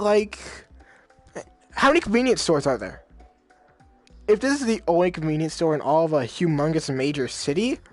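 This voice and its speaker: A man playing a visual novel, reading the dialogue aloud in his own voice and rambling in casual slang between lines. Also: high voice. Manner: casual slang, many fillers. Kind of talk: let's play reading and commentary.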